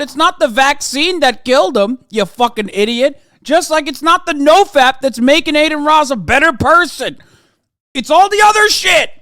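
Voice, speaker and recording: A man's voice: very high-pitched, pushed and bright, no echo, studio quality. A man in his thirties ranting in casual reaction commentary, profane and exasperated. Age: 30s. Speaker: streamer reacting to clips.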